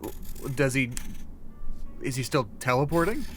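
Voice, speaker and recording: A man playing a gentle, encouraging therapist who speaks haltingly and patiently in a naturalistic audio drama. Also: mid-range voice, expressive, faint music behind. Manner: hesitant, stammering, patient. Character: gentle, hesitant, encouraging therapist in a secret facility.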